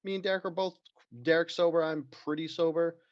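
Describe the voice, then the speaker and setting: A man's voice: fairly high pitch, flat delivery, brisk, no echo, lo-fi sound. A man co-hosting a podcast in casual, profane, joking chat. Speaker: podcast co-host.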